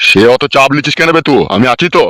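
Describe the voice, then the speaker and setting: Man as a crafty cartoon village uncle, bossy, hectoring, rapid-fire, dry close sound. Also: a little high, lively intonation, sharp tone, no echo. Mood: indignant, boastful. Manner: scolding, boastful, hectoring. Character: bossy, crafty, foul-mouthed village uncle and power broker. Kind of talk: amateur comic cartoon dialogue.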